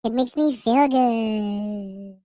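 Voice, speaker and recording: A smug, whiny old politician who sounds like a child, as a man puts him on. He speaks in a high, slow, mocking drawl, recorded close and dry. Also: dark tone, no echo, heavily acted.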